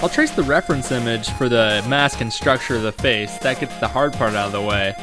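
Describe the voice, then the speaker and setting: A man's voice: mid-range voice, a little fast, over music. A young man narrating a scripted vlog in a self-deprecating way. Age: young adult. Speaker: host, amateur game maker.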